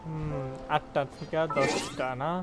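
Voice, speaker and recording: A man's voice: fairly high pitch, flat delivery, very fast. A man chatting casually as he streams a game, informal and repetitive, mixing in English gaming words. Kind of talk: casual gameplay chat and commentary. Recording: game music behind the voice.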